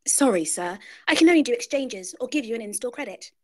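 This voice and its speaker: An actress playing a polite, apologetic store clerk at a script table read. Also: female voice, fairly high pitch, lively intonation, fast.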